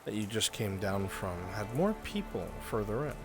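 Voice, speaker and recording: A man's voice: lowish voice, big pitch swings, moderate pace, over music. A man narrating an improvised roleplay scene to players as the game master.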